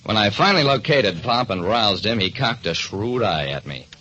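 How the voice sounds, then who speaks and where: A man's voice: on the low side, lively intonation, normal speed, sharp tone, slight background noise. A man with an American accent giving dramatic, hard-boiled narration as a detective in old-time radio style. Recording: dull, band-limited recording.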